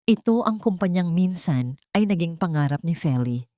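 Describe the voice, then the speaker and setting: A Filipino woman reads a dramatic story in a lowish voice. Her delivery is measured and solemn, and the recording is dry and close, with very little room sound. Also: expressive, mellow, no echo. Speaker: audiobook narrator. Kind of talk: dramatic scripted story narration.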